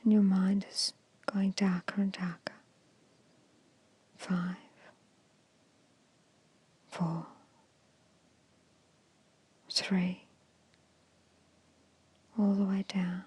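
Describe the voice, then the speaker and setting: A woman guiding an ASMR meditation in a hushed, soothing, breathy whisper with short, measured phrases. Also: medium pitch, flat delivery. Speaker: hypnosis and meditation guide.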